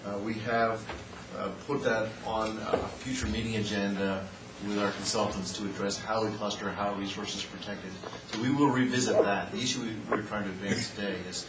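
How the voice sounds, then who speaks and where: A man calmly answering at a public meeting, reassuring and steady. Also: on the low side, moderate pace, few pauses, distant mic. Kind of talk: meeting discussion. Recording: noisy roomy room mic from afar.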